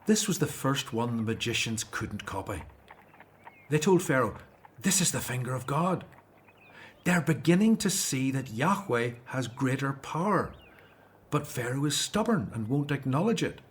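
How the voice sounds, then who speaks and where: Devout Hebrew prophet, earnest, solemn, slightly husky, mid-pitched, radio drama. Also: male voice, animated, moderate pace. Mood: earnest, grave.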